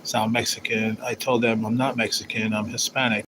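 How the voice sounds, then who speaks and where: A man's voice: lowish voice, level tone, moderate pace, a bit bright, slight background noise. A man hosting a casual livestream, chatting in a rambling, teasing way as he reads viewer comments. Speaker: livestream host.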